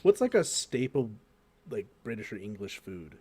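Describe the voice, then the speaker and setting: A man's voice: on the low side, moderate pace, slightly rough. American man chatting casually, profane and joking. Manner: profane, joking, animated storytelling. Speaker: podcast co-host. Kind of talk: casual group chat.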